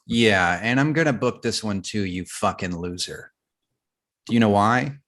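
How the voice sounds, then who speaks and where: A man's voice: fairly low pitch, a little slow, fairly bright tone. A man reading an arrogant, smug male TV actor in a comedy script, in a casual way. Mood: smug, hostile. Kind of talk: comedy script table read.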